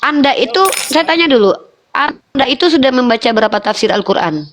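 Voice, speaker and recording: A woman hosting a heated live debate, repeating her questions with open exasperation and lecturing in a firm, deliberate way. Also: high-pitched, expressive. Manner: exasperated, presses the same question again and again. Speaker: debate host.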